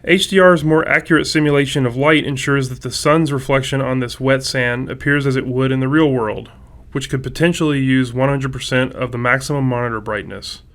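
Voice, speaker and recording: A man with a medium-pitched voice reads a scripted technical explanation. He narrates as a game developer walking through the details. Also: steady tone, a little fast, a bit bright.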